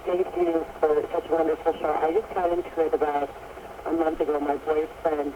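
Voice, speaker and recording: A man's voice: on the high side, flat delivery, moderate pace. A polite, hesitant man from Canada, born in Baghdad, chatting casually as a caller on a dull, noisy shortwave radio phone-in. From born in Baghdad, raised in Canada. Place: phone call to radio station.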